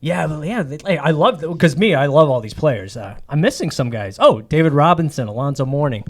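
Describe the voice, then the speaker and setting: A man's voice: fairly high pitch, lively intonation, fairly quick. A man in his forties hosting a comedy podcast, talking in a rambling, self-deprecating way with lots of fillers and restarts. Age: 40s. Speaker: podcast host. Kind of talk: casual comedy podcast chat.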